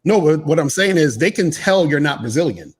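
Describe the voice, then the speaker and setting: A man's voice: a little high, animated, brisk, husky. Insistent man arguing a point. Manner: insistent, repeats "what I'm saying". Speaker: podcast co-host. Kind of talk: casual debate.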